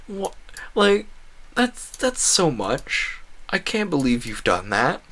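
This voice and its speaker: A man giving casual, rambling commentary as a streamer, animated and jokey, often interrupting himself and muttering asides in his native English. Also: fairly high pitch, slow pace. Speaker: gaming streamer.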